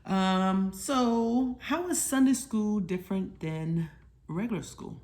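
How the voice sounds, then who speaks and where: A woman's voice: mid-range voice, slow, a little mellow. A woman hosting a children's storytime, talking casually and teaching in simple words, with questions to check that listeners follow. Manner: simple, informal explanations for children. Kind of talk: casual children's lesson, conversational.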